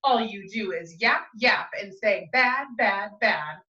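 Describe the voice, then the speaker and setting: A woman's voice: mid-range voice, lively intonation, takes it slowly, bright, forceful tone. A woman reading a storybook as a greedy, stubborn industrialist who justifies himself in emphatic rhyme. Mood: defiant. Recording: roomy, slightly dull, distant microphone.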